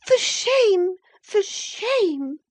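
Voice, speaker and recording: A lovestruck, petulant young girl, pitched very high and full of indignant passion. Her delivery swoops freely, like the heroine of a period storybook. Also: big pitch swings, very slow, exaggerated voice. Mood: angry. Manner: impassioned, indignant. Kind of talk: dramatised classic novel reading.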